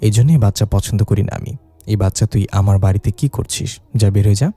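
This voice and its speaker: A man's voice: fairly low pitch, brisk, warm tone, slightly rough. A man voicing an irritable, exasperated female ghost who scolds and grumbles in a supernatural story. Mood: angry scolding.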